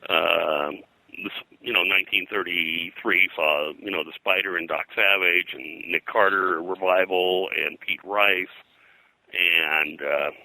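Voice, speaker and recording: A man with a low, forceful voice talks as a guest historian in an informal interview. He piles up details haltingly and wanders into asides. The tone is very bright and the sound is dry and close. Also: fairly even intonation, gravelly, no echo, low-quality recording. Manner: halting, digressive, detail-heavy explaining. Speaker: pop culture historian, guest.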